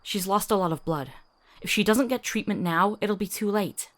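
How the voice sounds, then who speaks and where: A woman playing a quick-witted, compassionate travelling companion in a fantasy visual novel. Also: medium pitch, close to an everyday voice. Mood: concerned.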